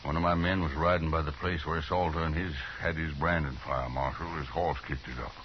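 An American actor playing a stubborn cattle rancher in a 1950s radio Western, with a clipped, firm, terse delivery. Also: male voice, rumbling low, steady tone, brisk. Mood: grimly determined. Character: stubborn, stern cattle rancher, adult man. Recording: muffled, noisy 1950s radio recording.

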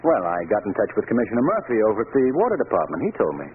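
An actor playing a confident, amused amateur crime-solver in a casual, self-assured manner, in 1940s radio drama style. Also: male voice, on the low side, huge pitch swings, rapid-fire. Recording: tinny, hissy 1940s radio recording.

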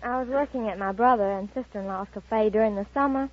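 A woman's voice: a little high, fast talker. A woman from Arkansas appearing as a quiz show contestant, answering and telling stories somewhat hesitantly. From Arkansas, United States. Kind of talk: interview answers, storytelling. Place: stage before a studio audience. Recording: dull old radio broadcast, some noise.

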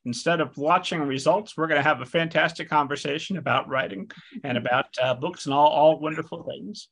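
Raspy bright-voiced male podcast host. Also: fairly high pitch, a little fast. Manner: playful, mock-formal address to the audience. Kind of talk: upbeat podcast interview.

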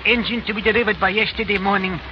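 Man acting a high-pitched, slyly polite Japanese fisherman. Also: steady tone, quick pace, natural voice. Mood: falsely polite. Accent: put-on Japanese. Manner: clipped broken grammar, formally polite, unyielding. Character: stereotyped Japanese immigrant fisherman, evasive, falsely apologetic. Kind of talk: 1940s radio acting. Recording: dull, noisy 1940s radio recording.

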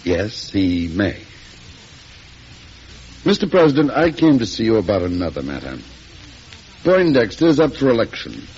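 An actor playing an earnest, wary political adviser, urgent yet deferential, in an old radio drama. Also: male voice, on the low side, sing-song, moderate pace, long pauses, darkish tone, breathy edge, heavily acted. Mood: brisk, respectful. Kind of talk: 1940s radio drama acting. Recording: dull old radio recording.

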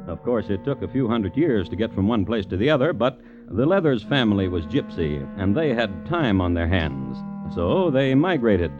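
A man hosting and narrating a vintage radio crime story in a measured, dryly wry storytelling manner. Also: on the low side, big pitch swings. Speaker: host and narrator. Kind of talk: 1950s radio scripted narration. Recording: muffled vintage radio with music. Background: musical score.

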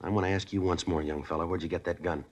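A stern deadpan cop, very low voice, rapid clipped lines. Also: male voice. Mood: terse. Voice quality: clipped, flat, matter-of-fact delivery. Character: stern deadpan male police detective, narrator. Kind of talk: 1950s police drama acting.

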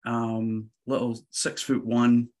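A man's voice: mid-range voice, slow pace. A Scottish man in his forties with a Glasgow accent, a podcast guest casually telling stories about himself. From Scotland. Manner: relaxed, chatty, laughs lightly. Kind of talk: casual interview storytelling.

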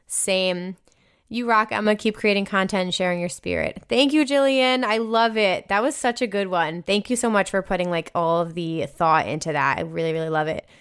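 A woman's voice: medium pitch, moderate pace, a bit bright, clean tone. A young woman with a New Jersey American accent, a podcast host reading listener emails aloud and reacting in an effusive, slangy, casual way with some swearing. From New Jersey, United States.

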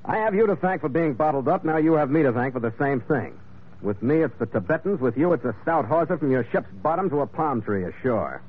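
An actor playing a tough, wry sea captain who also narrates a vintage radio adventure in a clipped way. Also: male voice, fairly high pitch, expressive, a little fast, slightly rough. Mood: coolly bargaining. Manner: clipped, wry, hard-boiled. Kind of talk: old-time radio adventure acting and narration. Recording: tinny vintage radio recording.

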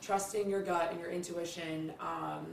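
A woman hosting a podcast, excitable and chatty, who laughs often and keeps saying "literally". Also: lowish voice, moderate pace, vocal fry, a little hiss or hum. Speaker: podcast host. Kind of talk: casual podcast interview. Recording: roomy, somewhat distant mic.

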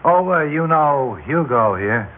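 An American actor playing a falsely chummy, menacing gambling boss in an old-time radio drama. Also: male voice, a little high, expressive, measured pace. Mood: falsely friendly. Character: smooth, menacing male gambling boss and creditor. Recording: old radio broadcast, narrow band, hiss.

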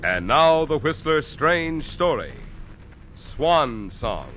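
Male 1940s radio announcer, slightly high, hugely animated, formal and emphatic, on a muffled old broadcast. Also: takes it slowly, heavy background noise. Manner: emphatic, formal sales delivery. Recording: dull vintage radio recording.

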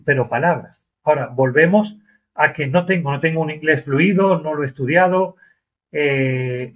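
A man, a driver being interviewed, speaking in an earnest, emphatic conversational way and repeating himself. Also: a little high. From Argentina. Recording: dull lo-fi audio.

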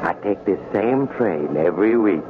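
An actor playing a sly, genial traveler with a mock-friendly, sinister air, in 1940s radio style. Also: male voice, sits low, expressive, moderate pace. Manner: chatty, mock-friendly, insinuating. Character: sly, genial male mysterious traveler, sinister storyteller on a train. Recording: noisy, tinny old radio recording.

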